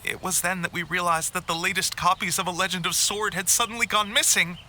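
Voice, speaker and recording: A man's voice: high voice, steady tone, a little fast, bright, forceful tone, a little hiss or hum. An actor playing a courteous, easily flustered male editor in a video game, deferential and earnest. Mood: nervous, apologetic.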